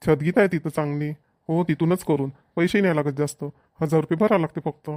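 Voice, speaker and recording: A man's voice: fairly high pitch, quick pace, a little mellow, no room sound, clean studio sound, close to an everyday voice. A man voicing a practical, bossy but agreeable middle-aged village father in a cartoon comedy, chatty and emphatic, with a Varhadi Marathi accent.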